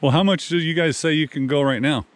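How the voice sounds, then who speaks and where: A man's voice: a little high, fast. A middle-aged man in casual, jokey chat with his riding buddies, caught on an action camera. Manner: upbeat, jokey, often chuckling. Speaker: motorcycle vlogger. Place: outdoors by roads, some in restaurants. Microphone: action camera held or mounted on motorcycle. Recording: loud music bed, outdoor vehicle noise.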